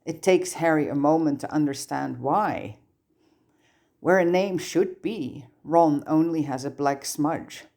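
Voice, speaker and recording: Story narrator with a mid-pitched voice. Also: slow, darkish tone. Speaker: podfic narrator.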